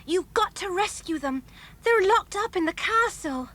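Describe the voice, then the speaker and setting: A woman's voice: extremely high pitch, animated, unhurried, a bit bright, heavily acted. A woman playing a plucky, earnest young girl from a travelling fair in a children's audio drama, speaking with urgency. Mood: urgent, pleading.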